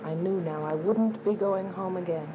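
A woman's voice: on the low side, moderate pace, noisy background, close to an everyday voice. A woman playing an earnest, devout, anxious woman who narrates and speaks in a radio drama. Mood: solemn, reflective. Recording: muffled radio drama with music behind.

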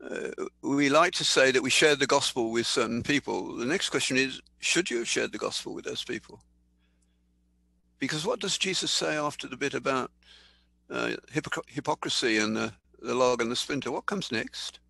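An older man giving a sermon in a thoughtful, conversational way, slowing down to read scripture aloud. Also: mid-range voice, gravelly, sharp tone, slightly rough, dry recording, rough recording. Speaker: preacher. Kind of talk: sermon teaching.

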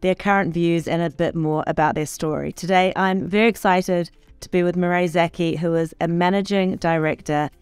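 Polished woman host, smooth voice. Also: lowish voice, fairly even intonation, fairly quick, hardly any pauses, no echo. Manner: polished, upbeat. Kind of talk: scripted introduction and interview questions. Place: studio set with city backdrop. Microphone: desk microphone.

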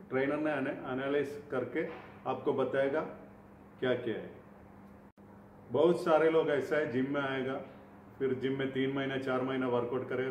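A man's voice: mid-range voice, darkish tone. A middle-aged man gives an informal instructional talk to camera, explaining in simple, repetitive phrases. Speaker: fitness vlogger. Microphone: camera a few feet away. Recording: echoey room, distant mic, faint noise.

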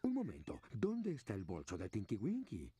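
A man's voice: on the low side, wildly swooping pitch, normal speed, very noisy. A man narrating a scripted story for small children, in storybook style. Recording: children's show music behind the voice.

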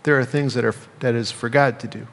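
American pastor preaching in a reverberant hall. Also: male voice, mid-range voice, fairly even intonation, brisk, a bit bright. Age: middle-aged. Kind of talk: sermon. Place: church sanctuary, indoors at a lectern.

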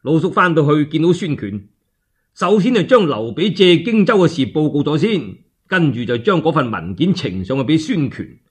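A male Cantonese storyteller narrates in a colloquial, theatrical manner. His pitch swings freely and his voice is a little rough. It feels like a one-man radio drama. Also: very animated, moderate pace.

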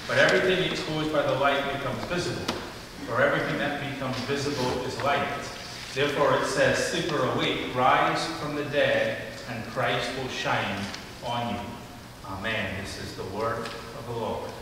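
A man's voice: medium pitch, background noise. A man in his forties preaching from a pulpit in a large church sanctuary, reading scripture and telling a story before his sermon. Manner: measured, reads scripture then tells a story with animated character voices. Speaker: pastor. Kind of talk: sermon with a told joke. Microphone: pulpit mic, camera at the back. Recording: echoey church hall from far off.

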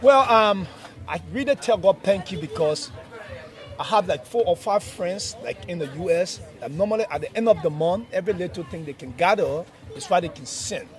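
A man's voice: high-pitched, expressive, moderate pace, a faint crowd. A man in his forties with a Sierra Leonean accent answering interview questions outdoors as an orphanage director.

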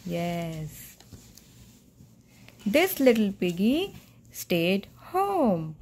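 Indian female, mother reading to a little one. Soft-toned, expressive melody, instructive questions, recorded at home. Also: medium pitch, drawn-out words. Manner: simple, instructive, prompting questions. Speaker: mother reading to a small child. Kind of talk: picture-book read-aloud with questions to a child. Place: at home, indoors on a bed.

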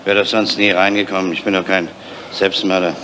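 An actor playing a gruff, sardonic, weary police inspector in a 1970s crime radio play. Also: male voice, deep voice, flat delivery, brisk, pushed and bright. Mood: dry, matter-of-fact. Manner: gruff, dry. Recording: noisy ambience behind the voice.

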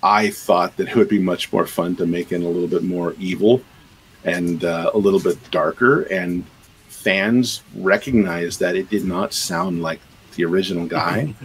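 A man's voice: sits low, steady tone, moderate pace, slight background noise, rough recording. A middle-aged man, a game composer and sound designer, talking casually on a video call with many fillers and restarts. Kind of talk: casual podcast interview.

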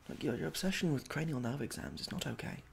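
A young man speaks softly in an ASMR roleplay, playing a polite, awkward examiner. His voice is creaky and moves widely in pitch, with halting delivery. Faint room noise sits behind him. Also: sing-song. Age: young adult. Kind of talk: soft-spoken ASMR roleplay. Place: small domestic room indoors.

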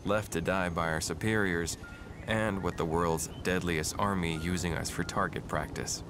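A man's voice: low voice, fairly even intonation, moderate pace, noisy background, close to an everyday voice. An actor playing a wry, laconic soldier who narrates his squad's misadventures in a video game.

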